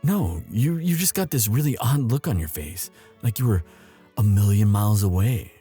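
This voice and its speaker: A man playing a young prince, a tender and anxious lover, in an intimate, halting romantic audio roleplay. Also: on the low side, expressive, mellow, slightly rough, soft background music. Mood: tender, teasing.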